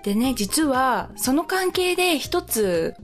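A woman co-hosts a radio show in a fairly high voice. She chats casually and passes on announcements in a conversational way, with music underneath. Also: very animated, takes it slowly.

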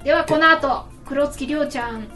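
A woman with a high voice hosts a casual radio show. She talks through cooking steps and chats with a playful, teasing edge. Light music plays behind her. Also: moderate pace, sharp tone. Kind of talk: casual chat and cooking narration. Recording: background music under the voice. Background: background music.

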